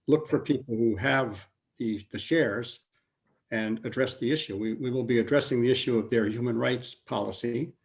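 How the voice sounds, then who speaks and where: A man commenting from the audience about his coalition's work, measured and halting. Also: medium pitch, a little mellow. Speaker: audience member, chairs an investor coalition.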